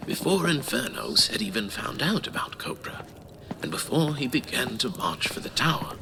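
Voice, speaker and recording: An actor playing an absent-minded archivist recounting legends in a fantasy audio drama. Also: male voice, mid-range voice, very animated, moderate pace, creaky, noisy background. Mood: sheepish, narrating.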